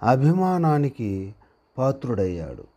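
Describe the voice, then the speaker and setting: Male storyteller for read-aloud moral tales: husky, mellow, unhurried, phrase-by-phrase delivery with pauses. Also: mid-range voice, sing-song. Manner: deliberate, pausing after short phrases. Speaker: narrator of a handwriting and moral story channel.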